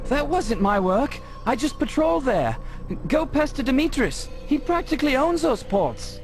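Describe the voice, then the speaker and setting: An actor playing a smug, evasive Templar sergeant in a video game, with a mocking, sneering manner. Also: male voice, extremely high pitch, very animated, normal speed, faint music behind, exaggerated voice. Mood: defensive.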